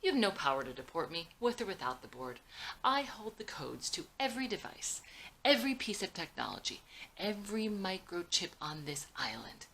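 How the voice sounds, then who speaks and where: Woman reading as an embittered, forceful villain son. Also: on the low side, very animated, normal speed, slight background noise, voice pushed up. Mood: menacing, scornful. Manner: contemptuous, forceful, scornful. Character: embittered, arrogant villain son, scornful and aggressive.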